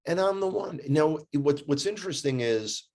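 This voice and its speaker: A man's voice: on the high side, lively intonation, moderate pace, vocal fry, a little mellow. A man with an American accent telling stories in a casual, conversational way, emphatic and repeating phrases for effect. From United States. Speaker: guest, tour guide living in Israel.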